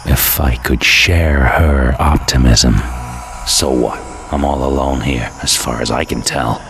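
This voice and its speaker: Very deep, jaded antihero narrator. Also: male voice, steady tone, a little slow, background noise. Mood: bitter, cynical. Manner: world-weary, sardonic. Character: jaded, cynical dead hitman antihero narrating his purgatory war. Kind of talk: hardboiled scripted narration.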